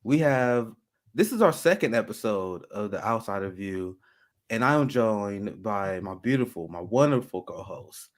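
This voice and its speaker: A man hosting a live talk show, speaking with many restarts and pauses. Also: on the low side, animated. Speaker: host.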